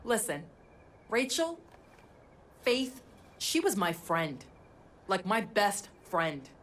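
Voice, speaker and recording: Intense young woman, mid-pitched. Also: big pitch swings, slow pace, a little hiss or hum. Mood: bitter, hurt. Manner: blunt, intense. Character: bitter, tough young woman resistance fighter. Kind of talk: dramatic video game acting.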